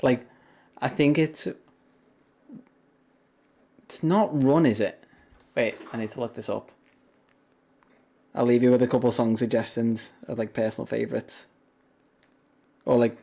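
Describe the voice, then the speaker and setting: Young man, deep voice, casual stream chat, rambling, frequent laughs. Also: moderate pace, long pauses, warm tone. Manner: rambling, laughs often between thoughts. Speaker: livestreamer.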